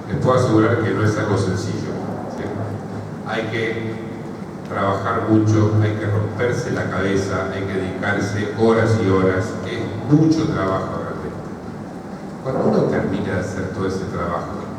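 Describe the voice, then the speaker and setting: A man with an Argentine accent giving a psychoanalysis seminar, explaining points and checking in with the audience. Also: on the low side. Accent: Argentine Spanish. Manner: explanatory, keeps asking "¿sí?" and "¿está?" to check in. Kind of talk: seminar lecture answering a question. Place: large university lecture hall. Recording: echoey hall from far off, noisy.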